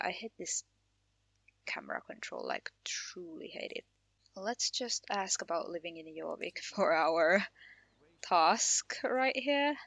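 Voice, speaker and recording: A woman's voice: mid-range voice, vocal fry, a bit bright, no room sound. A woman from Finland hosting a casual Let's Play, talking in a chatty, informal way. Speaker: gaming channel host.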